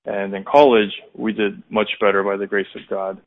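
An American man in his thirties telling his personal testimony as an interview guest, in a halting, reflective, conversational way. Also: lowish voice, flat delivery. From United States, California and Indiana. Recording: muffled, narrow phone-like line.

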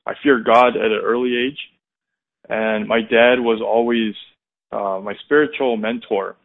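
An American man in his thirties telling his personal testimony as an interview guest, in a halting, reflective, conversational way. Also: mid-range voice, fairly even intonation, creaky. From United States, California and Indiana. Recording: muffled, narrow phone-like line.

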